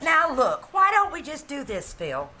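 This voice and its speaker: A woman acts out a sensible, teasing 1940s wife in a live radio comedy. Her voice is very high and animated in pitch, with faint noise around it on stage. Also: measured pace, full character voice. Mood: reasoning. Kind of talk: live re-enacted old-time radio comedy acting.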